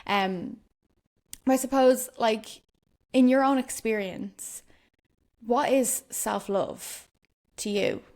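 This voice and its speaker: Soft-spoken woman host. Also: fairly high pitch, slow pace, pauses often. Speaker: podcast host. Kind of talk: casual interview chat.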